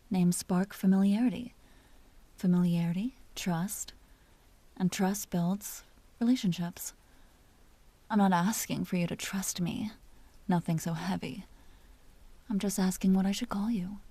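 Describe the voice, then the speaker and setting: A woman playing a commanding, teasing vampire duchess with a tender side, speaking softly and often in whispers in an intimate audio roleplay. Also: mid-range voice, a little slow, pauses often, no echo. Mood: coaxing, teasing. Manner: soft, breathy, often dropping into whispers; teasing, possessive, imperious.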